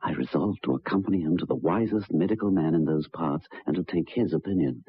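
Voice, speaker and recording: An actor playing a troubled, earnest gentleman who recounts a ghostly tale in formal, deliberate, halting old-time radio style, with a husky voice. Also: low-pitched. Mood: brooding. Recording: dull vintage radio recording.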